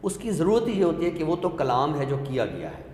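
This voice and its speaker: A man giving an explanatory literary talk, deliberate and didactic, repeating his points for clarity. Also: fairly high pitch, sing-song, a little mellow. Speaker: literary expert guest on a discussion show. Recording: echoey hall-like room with noise.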